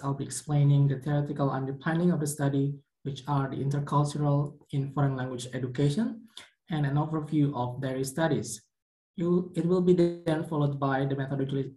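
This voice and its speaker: A young man whose first language is Indonesian, a PhD candidate giving a measured conference presentation with occasional fillers. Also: a little high, warm tone, roomy sound, low-quality recording. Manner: careful, measured academic delivery with some fillers. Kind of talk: recorded conference presentation over slides.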